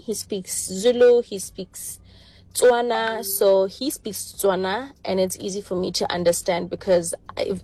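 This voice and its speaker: A woman's voice: medium pitch, warm tone, poor audio. A woman sending a casual, chatty voice note to a radio show.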